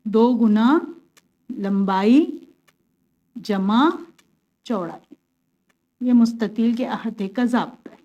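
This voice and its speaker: Woman, maths teacher, patient, step-by-step explanation, slightly high voice. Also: slow, long pauses, mellow, muffled recording. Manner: patient, step-by-step, asks and answers her own questions. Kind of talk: classroom lesson, explaining worked examples.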